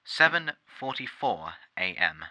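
An actor playing a dutiful robot servant in a science-fiction audio drama, polite, servile and precise. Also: male voice, on the low side, sing-song, slow, sharp tone, no room sound, full character voice, low-quality recording. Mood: obliging.

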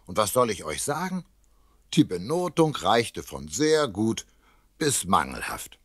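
A man narrating a children's story in a chatty way, addressing young listeners directly, with a husky voice. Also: on the low side, big pitch swings, measured pace, no echo, clean studio sound, exaggerated voice.

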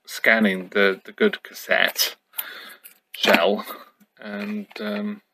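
A man's voice: on the low side, level tone, extremely slow, background noise. A middle-aged man giving a casual, unscripted show-and-tell, musing hesitantly as he goes. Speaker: YouTube host. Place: indoors at home.

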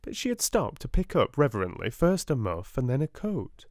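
A man with a British accent reading a classic children's novel aloud in a gentle, soft-spoken read-along style, close to the mic at a table indoors. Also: medium pitch, no room sound. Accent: British English. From Britain. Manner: gentle, calm and unhurried. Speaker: audiobook narrator. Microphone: close mic over a book on a table.

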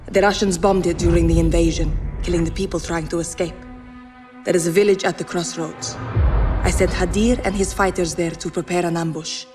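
An actress playing a resolute, grave young rebel commander in a military game, speaking deliberately. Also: female voice, on the low side, long reverb tail, close to an everyday voice. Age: young adult. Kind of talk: cinematic military game acting.

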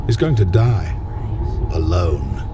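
An actor playing a menacing, mock-sympathetic villain in a video game, slow and sneering. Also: male voice, extremely high pitch, huge pitch swings, noisy background, full character voice. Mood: sneering menace. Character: menacing taunting villain, sinister older man. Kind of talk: dramatic video game acting. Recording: loud music behind.

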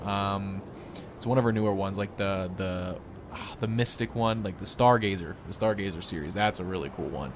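A man's voice: low-pitched, normal speed, heavy background noise. A young man hosting a gaming video, giving casual, rambling showcase commentary in an informal, easily impressed way. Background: game music.

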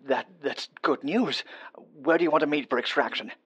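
A man voicing a nervous, scheming male doctor who talks in hesitant, rushed bursts. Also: fairly high pitch, fairly bright tone, slightly rough, highs cut off.

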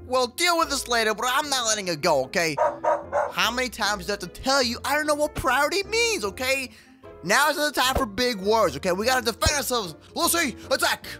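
A man playing an excitable, sassy kid hero in an animated gaming roleplay, peppering his talk with slang. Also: extremely high pitch, brisk, over loud music. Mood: eager, then frantic. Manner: slangy, says bro and dude constantly. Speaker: gaming video creator playing the lead character. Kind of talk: animated Minecraft kids roleplay acting.

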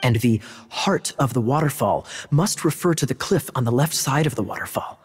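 A man's voice: medium pitch, lively intonation, normal speed, natural voice. A man voicing a sly, charming young knight captain in a game, wry and teasing as he works through a riddle. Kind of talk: game dialogue.

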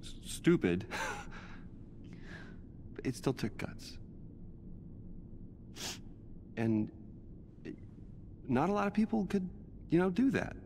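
A weary, gentle scientist in middle age. He speaks slowly with a lot of fry, hesitant and mumbling, in a naturalistic game style. Long reverb hangs around the voice. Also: high-pitched, big pitch swings, exaggerated voice. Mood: gentle, reassuring. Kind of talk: naturalistic video game acting. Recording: long reverb, faint background noise.

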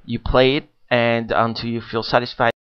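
Man, tutorial narrator, mid-pitched voice, plain explanatory delivery, muffled lo-fi recording. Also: flat delivery, normal speed, clean tone. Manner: plain explanatory, frequent uh fillers. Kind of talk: screen-recorded software walkthrough.

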